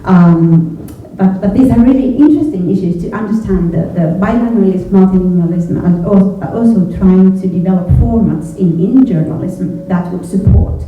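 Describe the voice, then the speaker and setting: A middle-aged native Finnish woman with a Finnish accent, answering on a panel in a halting, thoughtful way and often repeating words. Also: mid-range voice. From Finland. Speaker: panelist, journalism educator. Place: echoey indoor event room with a panel. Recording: echoey room from far off, phone-like, faint noise.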